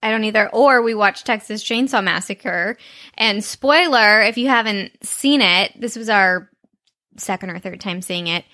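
A woman co-hosting a podcast in excitable, giggly casual chat. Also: medium pitch, measured pace. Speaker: podcast co-host.

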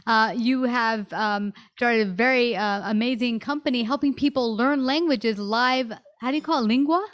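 A woman's voice: a little high, normal speed. Effusive woman hosting an interview. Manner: effusive, with many fillers. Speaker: talk show host.

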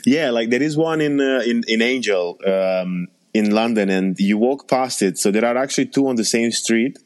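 A man's voice: medium pitch, normal speed. A man from Romania, a podcast host chatting casually in a rambling way with many restarts. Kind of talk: casual chat.

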